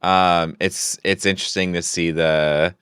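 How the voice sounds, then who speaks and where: A man's voice: sits low, flat delivery, a little slow, a bit bright, clean tone, dry recording, clean studio sound. A man co-hosting a podcast, talking casually and thoughtfully, feeling out his phrasing as he goes. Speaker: podcast co-host. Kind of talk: casual discussion.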